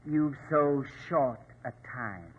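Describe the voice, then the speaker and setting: An actor in a 1940s radio drama playing a sinister, smugly courteous mortician with a formal, unctuous, deliberate manner. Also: male voice, medium pitch, lively intonation, a little hiss or hum. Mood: politely menacing. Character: sinister, politely menacing male mortician, smugly courteous henchman. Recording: tinny, band-limited old radio recording.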